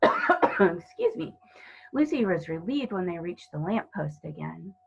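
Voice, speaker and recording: A woman's voice: on the low side, very animated, a little slow, creaky, slightly rough, muffled recording. A woman reading a children's novel aloud as scripted narration. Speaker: storybook reader.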